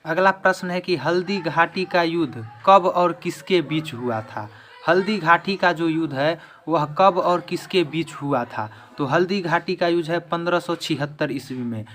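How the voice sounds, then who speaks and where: A man with a slightly high voice teaches in quiz style for exam students. He repeats each question and answer to drill it in. There is some noise behind him on the recording. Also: measured pace, fairly bright tone. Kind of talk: quiz-style history lesson.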